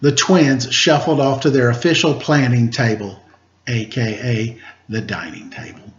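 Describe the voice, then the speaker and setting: A man's voice: mid-range voice, measured pace. A man reading a children's picture book aloud at storytime, with casual asides to his listeners. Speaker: storytime reader, teacher. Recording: roomy, dull, faintly noisy home recording.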